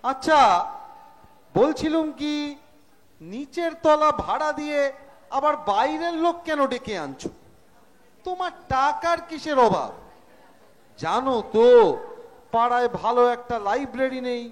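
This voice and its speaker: A man performs a mischievous young nephew in an amateur stage drama. He is glib and teasing, unusually high in pitch. Sound carries with the reverb of a hall. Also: expressive, unhurried, long pauses. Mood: cheeky, pleading. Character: mischievous, cheeky nephew of about twenty-five. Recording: echoing school hall stage.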